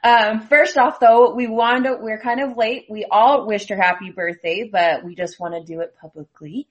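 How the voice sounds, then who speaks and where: A woman's voice: a little high, normal speed, highs cut off. A young woman hosting a casual group chat, speaking informally with mild, folksy exclamations. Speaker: host of a show with relatives.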